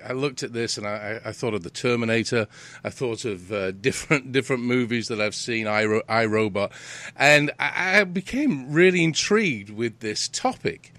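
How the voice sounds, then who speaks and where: A man's voice: mid-range voice, fairly bright tone, no room sound. Rambling British male host. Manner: rambling, repeats words and restarts often. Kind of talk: casual interview hosting.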